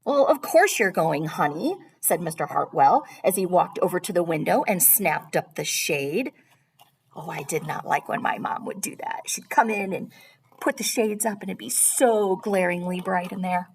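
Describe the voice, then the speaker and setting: A woman's voice: fairly low pitch, normal speed, slight background noise. A woman reading a children's storybook aloud as a teacher, expressively and addressing young listeners directly.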